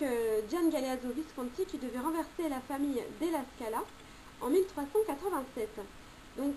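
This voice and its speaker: A woman's voice: sits high, a little mellow, a little hiss or hum, poor audio. A woman giving an informal spoken commentary on tarot cards, chatty, with frequent hesitations and self-corrections.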